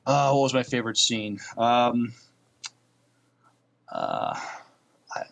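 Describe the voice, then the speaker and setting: A man with a medium-pitched voice co-hosts a casual podcast about TV shows. His delivery is halting and tentative, and he often repeats words as he works out what to say. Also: vocal fry, fairly bright tone. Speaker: podcast co-host.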